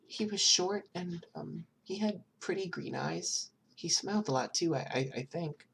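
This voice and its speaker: A narrator voicing a frightened, timid little girl in an audiobook, speaking haltingly and hesitantly. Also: medium pitch, expressive, vocal fry, fairly bright tone, slight background noise, voice pushed up. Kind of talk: acted audiobook dialogue.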